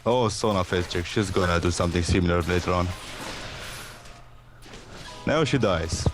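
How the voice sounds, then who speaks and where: A man's voice: low voice, moderate pace, over music. A man co-commentating a video game in casual chat, with dry, agreeable remarks.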